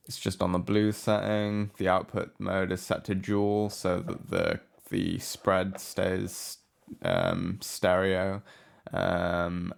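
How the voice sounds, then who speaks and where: A man giving a casual, unscripted software walkthrough as a tutorial presenter, low-key and hesitant in manner. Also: creaky. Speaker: music production tutorial presenter.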